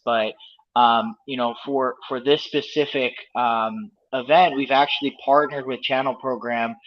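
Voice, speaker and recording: A man leading the company behind the event, talking enthusiastically and repeating words while he thinks, as a guest on a video call. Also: medium pitch. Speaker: company leader, event co-host. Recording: dull lo-fi audio.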